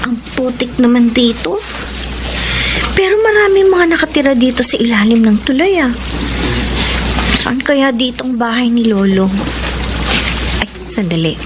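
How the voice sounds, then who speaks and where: A woman's voice: on the high side, animated, moderate pace, long pauses, over music, close to an everyday voice, rough recording. A woman playing a caring, respectful young daughter in a Filipino radio drama. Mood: tentative. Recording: noticeable background noise.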